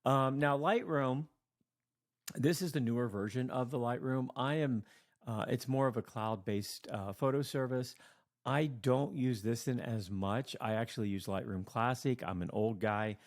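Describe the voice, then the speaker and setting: A tired middle-aged man and teacher, rambling with many fillers through a casual software walkthrough late at night. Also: mid-range voice, unhurried. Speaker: teacher and video creator.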